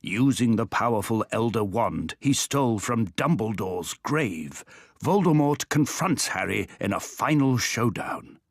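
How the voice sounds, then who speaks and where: A man voicing the dramatic storyteller of a fantasy video game, reading scripted narration in a measured way. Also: fairly low pitch, animated, no room sound, studio quality. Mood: dramatic, grave.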